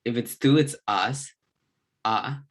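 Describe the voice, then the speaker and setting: A man giving a slide-based language lesson as a tutor, explaining in short, halting phrases with pauses. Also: mid-range voice. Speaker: Spanish grammar tutor.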